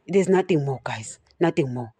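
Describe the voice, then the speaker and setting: Female podcast preacher, stutters, blunt and repetitive, mellow tone, dry close sound. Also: low voice, animated, normal speed, slightly rough, dry recording. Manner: direct, repeats "you understand?", addresses listeners as guys. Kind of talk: solo preaching podcast talk.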